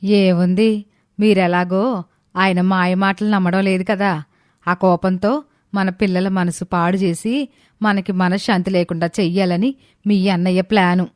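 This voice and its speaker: A woman voicing a resentful, sharp-tongued wife in a family story, complaining and accusing. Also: medium pitch, fairly even intonation, measured pace, pauses often, dry recording, clean studio sound, lightly acted.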